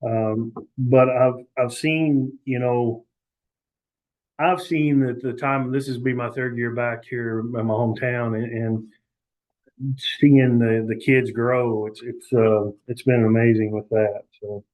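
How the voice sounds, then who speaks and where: A man presenting on a webinar over a video call, halting with many fillers and restarts. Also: mid-range voice, steady tone, darkish tone, rough recording. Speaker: youth prevention educator.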